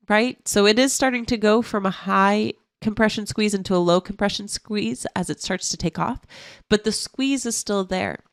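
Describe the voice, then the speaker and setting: A woman who sounds stuffy from a cold, walking through trading charts as an options analyst in a clear, explanatory way. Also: medium pitch, no room sound, clean studio sound.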